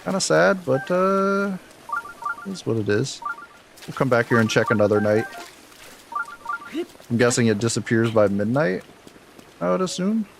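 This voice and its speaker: Deep-voiced gravelly man streaming a game. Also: expressive, measured pace, pauses often, noisy background. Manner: candid, laid-back, talks to chat between game moments. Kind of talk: casual live-stream chat while playing. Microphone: close desk mic. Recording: game music audible under the voice. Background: game music.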